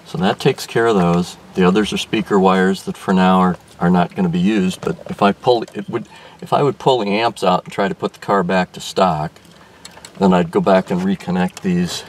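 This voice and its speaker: An older man explaining a car radio install as he works, thinking aloud with frequent restarts and fillers, recorded inside a car in daylight. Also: deep voice. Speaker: car restoration vlogger. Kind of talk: casual hands-on explanation. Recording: distant mic with faint background noise.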